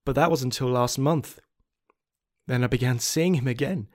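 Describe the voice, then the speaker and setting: A man playing a desperate, obsessed confessor in a horror story, halting and repeating words. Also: medium pitch, darkish tone, lightly acted. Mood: troubled, wistful. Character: unstable, haunted anonymous confessor on tape, desperate and obsessed. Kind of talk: dramatic first-person horror monologue.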